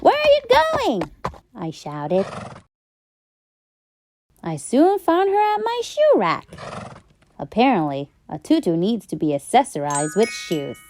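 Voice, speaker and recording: A woman narrating a children's story in an expressive, theatrical and emphatic storytime style. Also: medium pitch. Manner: theatrical, emphatic, drawn-out delivery. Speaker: children's storybook read-aloud host. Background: background music.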